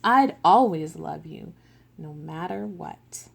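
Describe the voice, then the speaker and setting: A woman's voice: low-pitched, lively intonation, takes it slowly, gravelly, exaggerated voice. A woman reading a patient, loving parent. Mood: reassuring. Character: large loving parent creature, patient and reassuring.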